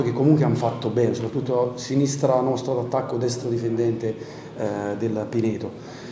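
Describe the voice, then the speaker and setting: 40s guy, football coach at a press conference, flat steady voice, lots of ums, echoey room. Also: mid-range voice. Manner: measured, thinking aloud with frequent fillers. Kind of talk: post-match press conference. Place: press room in front of a sponsor wall. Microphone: desk microphone. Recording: roomy press room with crowd noise.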